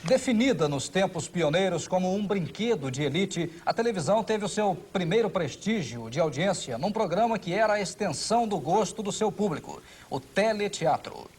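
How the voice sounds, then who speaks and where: A man's voice: high-pitched, lively intonation, normal speed, hardly any pauses, darkish tone, breathy edge. A Brazilian man delivering scripted narration as the narrator of a television documentary. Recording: faint noise, old TV broadcast.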